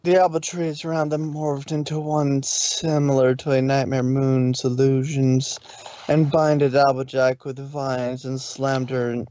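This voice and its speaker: A man's voice: mid-range voice, flat delivery, measured pace, dark tone, lo-fi sound. A man narrating a fan fiction story on a live stream, adding casual asides. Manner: plain reading with asides. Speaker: reader, narrator.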